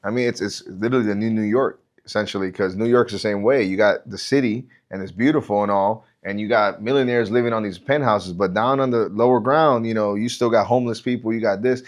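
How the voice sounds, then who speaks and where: American male host, fairly low voice, informal buddy-style interviewing. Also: fairly quick, few pauses. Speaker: podcast host. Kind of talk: casual conversation.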